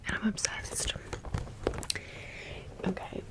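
Slow, whispering woman ASMR host. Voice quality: breathy unvoiced whisper. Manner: gentle, unhurried, chatty informal talk. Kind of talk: whispered ASMR product show-and-tell.

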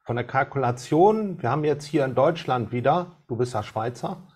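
A man's voice: mid-range voice, lively intonation, moderate pace, a little mellow, breathy edge. A man rambling and searching for words in a casual video call discussion, often ending with tag questions. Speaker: participant.

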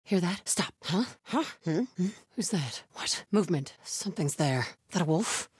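A woman playing a fierce, devout cult warrior in a video game, speaking in terse combat and stealth barks with a husky voice. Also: on the low side, animated, normal speed, dry recording, clean studio sound. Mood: wary, hushed. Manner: terse, clipped phrases.

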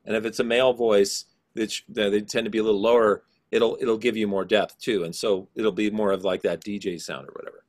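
A man's voice: steady tone, brisk, few pauses. Man teaching an online class. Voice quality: low voice. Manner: casual, explaining with many fillers. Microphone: video call.

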